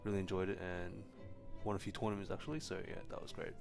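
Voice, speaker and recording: A man's voice: low voice, fast talker, gravelly, music in the background. A young man, born in China, hosting a casual tech live stream, answering chat questions with lots of ums and restarts. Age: young adult. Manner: relaxed, frequent ums and restarts.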